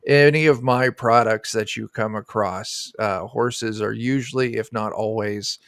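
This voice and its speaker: A man's voice: on the low side, steady tone, a little slow, fairly bright tone, dry recording. Man chatting casually, dry close sound. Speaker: guest, game designer. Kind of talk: casual interview chat about a tabletop game. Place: indoor room on a video call.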